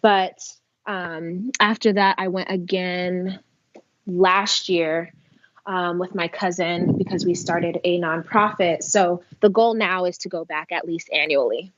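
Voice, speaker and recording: Slightly muffled, low-voiced American woman. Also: fairly even intonation, slow. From Las Vegas, United States. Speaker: podcast guest. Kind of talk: conversational interview answers.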